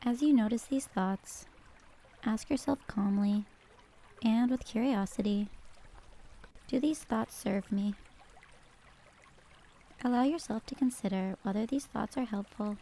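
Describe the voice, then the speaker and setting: Mellow woman guiding a meditation. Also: on the high side. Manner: calm, gentle, measured. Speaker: meditation guide. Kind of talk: scripted guided meditation narration.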